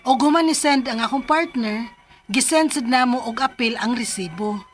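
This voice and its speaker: A woman's voice: on the high side, moderate pace, faint music behind, lightly acted. A woman with a Filipino accent playing a distressed young bride-to-be in a radio drama. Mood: troubled narration. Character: distressed young bride-to-be whose wedding falls apart.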